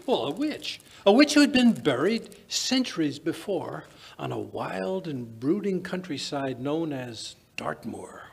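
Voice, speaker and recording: Radio-play elderly British doctor, acted by an older man: avuncular rambler, highish gravelly voice. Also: very animated, unhurried, exaggerated voice. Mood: relishing storyteller. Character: genial elderly British doctor, storytelling old raconteur. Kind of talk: old-time radio drama acting. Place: small stage in a museum, live performance. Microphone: vintage-style stand microphone.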